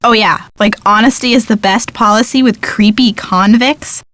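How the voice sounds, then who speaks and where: A woman's voice: medium pitch, steady tone, normal speed, fairly bright tone, no room sound, natural voice. A woman voicing a blunt, dry-witted young sidekick in a detective video game. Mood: skeptical. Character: wry skeptical young female sidekick friend of detective.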